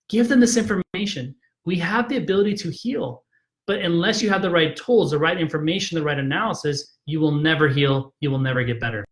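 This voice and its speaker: A man's voice: a little high, fairly bright tone. A man, a chiropractor, giving an emphatic, persuasive health talk in a live video, frustrated and repeating himself with frequent "right?" tags.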